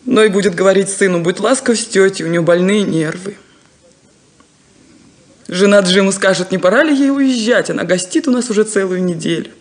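An actress playing a sad, resigned unmarried farm daughter, wistful and deliberate, in a 1970s radio play. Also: female voice, mid-range voice, close to an everyday voice. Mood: resigned, bitter.

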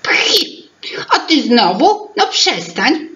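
A woman's voice: high voice, very animated, very slow, sharp tone, lo-fi sound, voice pushed up, heavily acted. A woman voicing a small, cheeky male teapot in a fairy tale, huffy and lecturing. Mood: exasperated.

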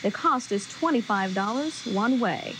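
A woman's voice: a little high, expressive, unhurried, noisy background. A woman anchoring the news in a television studio. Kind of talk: scripted newscast delivery.

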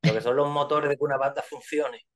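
A man's voice: fairly high pitch, fairly even intonation, fairly quick. Man rambling on a video call. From Spain. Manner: rambling, restarts, tag questions. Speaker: guest musician. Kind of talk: casual video call interview. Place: indoor room. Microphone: webcam on video call.

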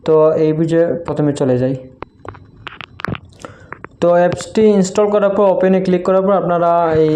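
Mellow-voiced man giving phone tutorial. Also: high voice, normal speed, long pauses. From Barak Valley, Assam, India. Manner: repetitive, instructional phrasing. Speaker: tutorial video creator. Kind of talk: informal step-by-step phone app tutorial. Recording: faint background noise.

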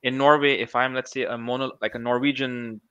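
A man's voice: medium pitch, fairly even intonation, fairly quick, bright, forceful tone, clear, resonant tone, no room sound, highs cut off. A man in his thirties, a guest researcher on a video call interview, speaking thoughtfully with many restarts and fillers. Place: small room at home. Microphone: headset.

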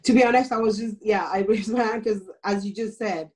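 A woman's voice: medium pitch, fairly even intonation, fairly quick, a little mellow. A woman from Nigeria with a Nigerian accent, speaking as a guest on a video call panel in an earnest storytelling way.

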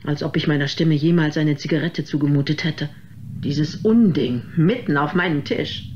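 A haughty female opera diva acted by a woman in a radio play, speaking with pompous disdain. She condescends to everyone around her. The recording is muffled, with clear noisy ambience behind her. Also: low-pitched, moderate pace, natural voice. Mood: disdainful, peevish.

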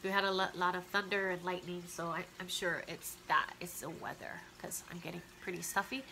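A woman's voice: on the low side, level tone, fairly quick, sharp tone, a little hiss or hum. A woman, chatting to the camera about a true crime case from her living room with a stuffy nose, opinionated and talking straight to her viewers. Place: living room at home, indoors. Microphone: camera close in front of her.

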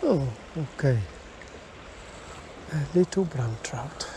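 A man's voice: a little high, expressive, slow pace. A man giving casual, murmured running commentary as he fishes, breaking into exclamations, recorded on a body-worn camera outdoors. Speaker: angler and vlogger. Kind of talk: casual first-person fishing commentary. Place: outdoors, wading in a small river. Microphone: body-worn action camera. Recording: outdoor action camera, constant water noise. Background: running river water.

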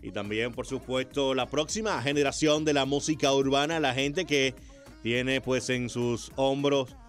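A man with a Dominican accent hosting a casual urban music interview on radio, effusive and quick to exclaim. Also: mid-range voice, steady tone. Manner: effusive, frequent exclamations of wow. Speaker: radio host. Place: small radio studio. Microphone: close studio microphone with headphones.

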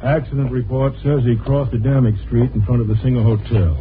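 An actor playing a matter-of-fact, grimly pitying hospital doctor in 1950s radio drama, clipped and clinical. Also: male voice, medium pitch, fairly even intonation, fairly quick, lightly acted. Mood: grave, clinical. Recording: muffled, hissy 1950s radio recording.

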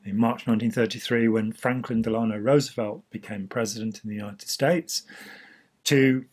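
A man, slightly hoarse, explaining history in a casual chat and gesturing freely as he talks. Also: fairly low pitch, steady tone, moderate pace, darkish tone. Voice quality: husky. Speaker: host. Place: small indoor room.

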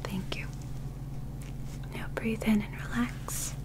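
A young woman whispers softly in an ASMR roleplay, playing an alien medical examiner. Her delivery is slow and very even, with little rise or fall. She sounds gentle, methodical and reassuring, close to the microphone. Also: fairly high pitch.

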